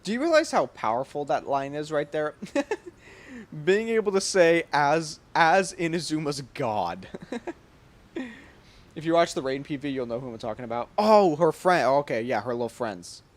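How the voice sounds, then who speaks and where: A young man streaming a game with casual, joking, slangy commentary and quick reactions. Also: on the high side, very animated. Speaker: gaming streamer.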